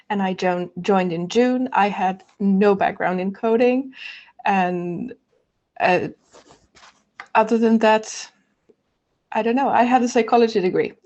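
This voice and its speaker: A woman from Bulgaria, a student leader, answering questions in an online townhall in a measured, thoughtful way. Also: mid-range voice, a little mellow. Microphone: video call. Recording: muffled video call audio.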